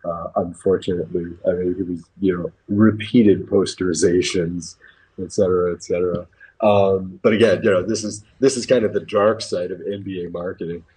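Deep, husky American man talking sports. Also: fairly even intonation, normal speed, warm tone, low-quality recording. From New England, United States. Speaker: basketball commentator. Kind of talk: casual sports talk.

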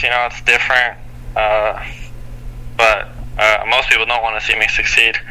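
Young man, bright, with halting answers. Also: medium pitch, smooth, poor audio. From Canada. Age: young adult. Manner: halting, many fillers and restarts. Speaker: basketball player. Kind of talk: interview answers.

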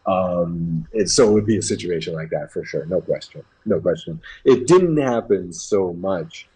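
American male basketball pundit, low register, very rough-edged husky voice, opinionated, conversational. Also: lively intonation, moderate pace, warm tone, poor audio. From New England, United States. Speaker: basketball commentator. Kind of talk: casual sports talk.

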